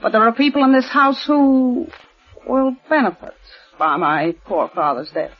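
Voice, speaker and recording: A woman's voice: a little high, lively intonation, measured pace. A proper, insistent heiress in her forties speaks in formal, clipped 1940s radio style. The sound is dull and lo-fi, like an old broadcast. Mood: tense. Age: 40s. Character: tense, proper wealthy eldest daughter.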